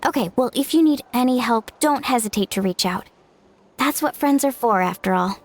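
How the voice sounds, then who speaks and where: A woman's voice: a little high, animated, moderate pace. A woman voicing a cheerful, earnest young girl in a video game, bubbly and eager. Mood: friendly.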